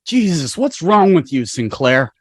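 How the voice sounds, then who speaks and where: Man playing an exasperated, animated teenage guy. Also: a little high, unhurried, slightly rough, no echo, studio quality, exaggerated voice. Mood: alarmed, exasperated. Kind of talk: animated dramatic table read. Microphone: video call.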